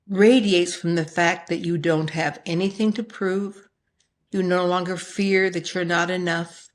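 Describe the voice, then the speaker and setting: Effusive woman hosting a podcast. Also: fairly low pitch. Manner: effusive, emphatic, affectionate. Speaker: podcast host. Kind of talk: conversational interview.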